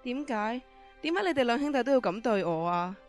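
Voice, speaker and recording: A woman's voice: on the high side, expressive, a little fast, close to an everyday voice. A woman acting a scheming, desperate middle-aged prisoner in an amateur radio drama, commanding and manipulative. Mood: hurt, desperate. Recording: radio drama with music underneath.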